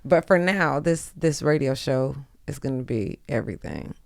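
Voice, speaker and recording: A woman's voice: extremely low pitch, expressive, moderate pace, darkish tone, dry recording, clean studio sound. A woman guesting on a podcast, chatting casually with slang and swearing. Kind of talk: casual chat.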